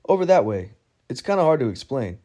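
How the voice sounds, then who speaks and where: A man's voice: medium pitch, very animated, brisk, warm tone, voice pushed up, close to an everyday voice. A male narrator voicing a cautious young woman student in a storybook, giving short, careful replies. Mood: guarded.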